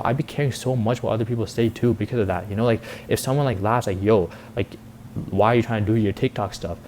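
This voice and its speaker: Fast-talking eighteen-year-old guy, rambling. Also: mid-range voice, a little mellow, a little hiss or hum. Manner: rambling, heavy youth slang with frequent "like" and "bro". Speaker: vlogger and content creator. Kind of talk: casual confessional vlog monologue.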